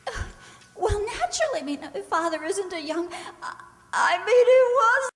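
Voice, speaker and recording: Radio drama daughter, female actor: extremely high pitch, polite, fragile, hesitant delivery, reverberant hall. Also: sing-song, normal speed, fairly bright tone, full character voice. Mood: upset, hesitant. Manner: faltering, stammering, tearful. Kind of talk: old-time radio drama acting. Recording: echoey hall, long reverb.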